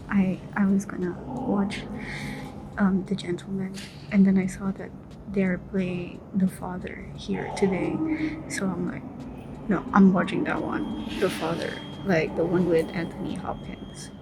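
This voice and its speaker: A woman's voice: fairly low pitch, level tone, unhurried, noisy background. A Filipino woman in her twenties, a native Filipino speaker, chatting casually to camera in a vlog and laughing often. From Philippines. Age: 20s. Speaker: vlogger. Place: outdoors and in a city on a day trip. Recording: distant mic, loud background music.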